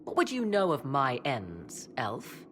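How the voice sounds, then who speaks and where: An actress voicing a proud, ambitious witch and scholar of ancient lore in a fantasy game, speaking formally and with imperious, measured persuasion. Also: extremely low pitch, wildly swooping pitch, full character voice. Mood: haughty, insistent. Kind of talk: dramatic fantasy game dialogue. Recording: clear ambient noise behind voice.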